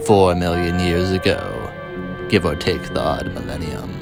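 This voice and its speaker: A man narrating a scripted story in a dramatic, portentous, deliberate way. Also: low-pitched, fairly even intonation. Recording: loud music over voice.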